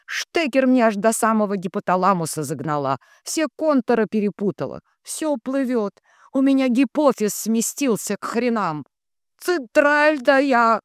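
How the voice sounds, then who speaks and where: Hysterical wailing female psychic, high-pitched. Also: expressive, clear, resonant tone, no echo, studio quality. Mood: woozy, outraged. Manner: wailing, bellowing, drawn-out anguished calls. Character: hysterical, moaning, grumbling heavy female psychic medium.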